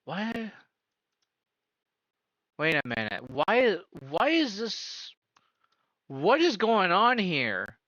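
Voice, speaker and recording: Bright, dry-sounding streamer man. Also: high-pitched, huge pitch swings, no room sound, dull audio. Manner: incredulous, asks rapid repeated questions. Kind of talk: casual live-stream reaction.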